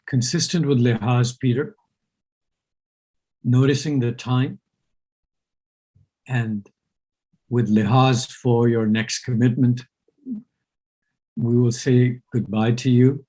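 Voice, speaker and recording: A man whose first language is Urdu, hosting a reflective conversation in a slow, searching way with many pauses. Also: medium pitch, mellow, poor audio. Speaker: host.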